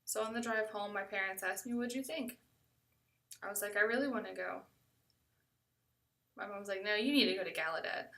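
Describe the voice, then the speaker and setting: A woman with an American accent recounts a personal story in a conversational style. Her voice is bright and clearly edged, at an average pitch for a woman. It sounds slightly distant from the microphone. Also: brisk, long pauses.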